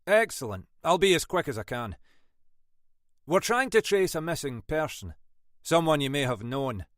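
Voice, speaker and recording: A man voicing a shrewd older Scottish detective inspector with a dry, brisk, wry manner. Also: fairly high pitch, big pitch swings, fairly bright tone, no room sound, voice pushed up. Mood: polite.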